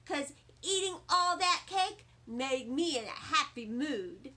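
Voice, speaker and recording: A woman voicing an excitable, bragging little girl with childlike, emphatic chatter in a storybook read-aloud. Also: high voice, slow, exaggerated voice. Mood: happy, boastful.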